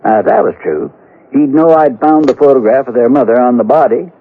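A rueful, dogged frontier lawman telling his tale in a western radio play. His voice is rough-edged and medium in pitch, with measured delivery. The recording is boxy, old radio sound. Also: expressive, narrow, tinny audio. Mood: grave, reflective. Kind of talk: old-time radio drama narration.

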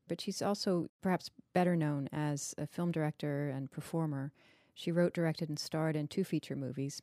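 A woman's voice: low voice, dark tone, no echo, studio quality. A woman hosting a literary podcast, composed and articulate in scripted introductions and interview questions. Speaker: podcast host.

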